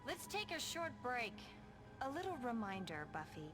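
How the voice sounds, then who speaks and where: A woman's voice: a little high, very animated, normal speed. A woman playing a vain, bossy teenage cheerleader with an American accent in a video game, peppy and sarcastic. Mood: bossy, exasperated. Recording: music over the voice.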